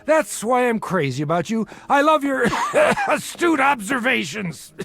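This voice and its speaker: An actor playing a boozy middle-aged small-time bookie in an audio drama, a glib, self-deprecating charmer and doting father given to theatrical flattery. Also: extremely high pitch, unhurried, vocal fry, full character voice. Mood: breezy, flattering.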